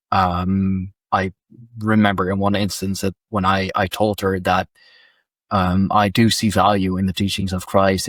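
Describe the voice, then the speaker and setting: Low-voiced Dutch man hosting a podcast. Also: no echo. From Netherlands. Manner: hesitant, frequent restarts. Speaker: podcast host. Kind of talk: conversational interview.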